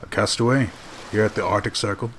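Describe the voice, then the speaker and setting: A man playing a kindly, commanding ship's captain in an audio drama, with a steady, authoritative manner. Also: lowish voice, fast, a little hiss or hum, close to an everyday voice. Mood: surprised, curious.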